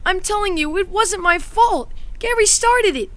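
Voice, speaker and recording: An actress playing an anxious, whiny schoolboy who pleads and argues defensively in a horror audio drama for kids. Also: female voice, very high-pitched, moderate pace, fairly bright tone. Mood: indignant. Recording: dramatic music behind the voice.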